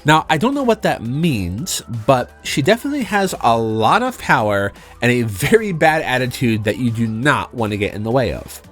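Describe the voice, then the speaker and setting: A man's voice: mid-range voice, sing-song, normal speed, few pauses, a bit bright. A man narrating a video game review in a conversational, emphatic way, as a channel host. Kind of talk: semi-scripted review narration. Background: background music.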